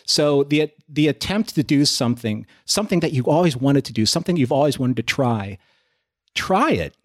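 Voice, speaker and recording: A man's voice: medium pitch, lively intonation, moderate pace, no echo, clean studio sound. A man speaking as a podcast guest, casual and conversational, in a thoughtful, motivational way.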